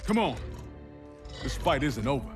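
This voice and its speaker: A man performs a wary, defiant video game soldier. His voice is medium in pitch and plain-spoken, over clear music. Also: big pitch swings, normal speed, lightly acted. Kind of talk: video game cutscene acting.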